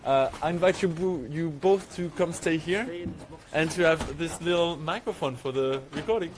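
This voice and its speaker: A man's voice: fairly high pitch, normal speed, noisy background. A man moderating a conference session, introducing the speakers hesitantly and stumbling over his words.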